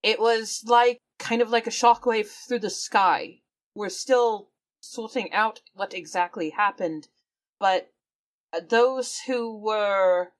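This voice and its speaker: A woman playing a tabletop game, talking in character and describing what her character does. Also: medium pitch. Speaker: player.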